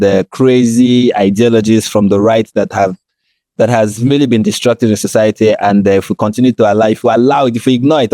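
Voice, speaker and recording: A man's voice: medium pitch, dark tone, no room sound. A man from Nigeria with a Nigerian accent, talking conversationally on a podcast and explaining his points with frequent check-in phrases. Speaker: podcast co-host.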